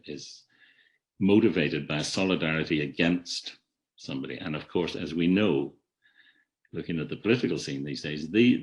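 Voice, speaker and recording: A man with a very low, deep voice lectures on theory in a webinar, heard over a video call. He speaks in a measured, reflective way, often restarting a phrase and letting his voice drop away at the ends of thoughts. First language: not an Irish speaker. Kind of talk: academic talk.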